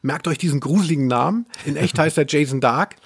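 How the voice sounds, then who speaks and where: A man with a somewhat high-pitched voice talks casually as a podcast co-host. He speaks conversationally, going into nerdy detail as he discusses his subject. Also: fairly quick, gravelly, slightly rough. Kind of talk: casual podcast discussion about radio plays.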